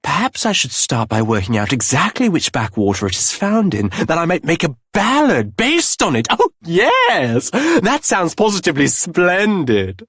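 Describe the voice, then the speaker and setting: A flamboyant travelling poet in a video game. His voice is fairly high and swoops theatrically, recorded dry and close. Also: wildly swooping pitch, moderate pace, hardly any pauses, a bit bright, slightly rough, no echo, heavily acted. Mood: musing, delighted. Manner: florid, theatrical. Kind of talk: video game character voice acting.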